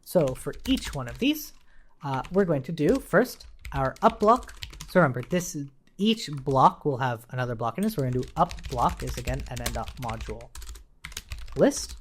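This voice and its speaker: A man's voice: medium pitch, animated, normal speed. A man giving an informal live-coding tutorial, thinking aloud as an instructor. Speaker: programming instructor. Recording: noticeable noise, keyboard clicks and typing. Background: computer keyboard typing.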